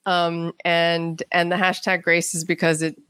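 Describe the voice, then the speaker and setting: A woman's voice: lowish voice, little pitch movement, measured pace, a bit bright, smooth, no echo, clean studio sound. A woman speaking casually as an interview guest, a writer telling stories in a chatty way and acting out bits of quoted conversation.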